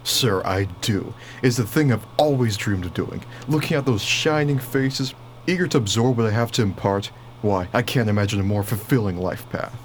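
An actor playing a young schoolteacher husband in a radio play, anxious, dutiful and a little exasperated. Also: male voice, on the low side, moderate pace, natural voice. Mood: earnest. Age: young adult. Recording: faint background noise.

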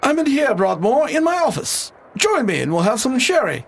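A man's voice: very high-pitched, sing-song, moderate pace, gravelly, exaggerated voice. A man playing a worldly, wryly amused colonial governor in a radio drama, with formal, theatrical old-fashioned speech. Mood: genial.

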